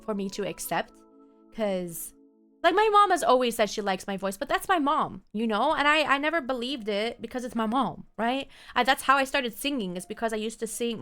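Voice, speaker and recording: A woman's voice: on the high side, a bit bright, clean tone. A young woman streamer chatting casually and answering viewer comments, rambling and laughing often. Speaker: game streamer. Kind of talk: casual chat while reading viewer comments.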